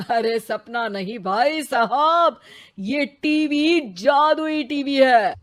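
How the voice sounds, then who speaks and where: Woman playing a cheeky, slow-talking magic TV newsreader. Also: high voice, expressive, exaggerated voice. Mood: chatty.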